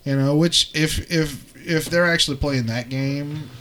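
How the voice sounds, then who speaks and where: A man co-hosting a podcast, chatting about pop culture in an animated, conversational way. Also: fairly high pitch, measured pace, slight background noise. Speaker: podcast co-host. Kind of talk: casual pop-culture chat.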